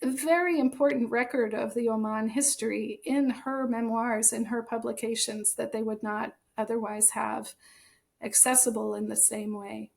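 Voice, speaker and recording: A woman's voice: a little high, steady tone, normal speed, creaky, a little mellow. An American woman speaking as an interview guest, explaining things in an articulate, conversational way with emphasis. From United States. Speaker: author and translator, interview guest.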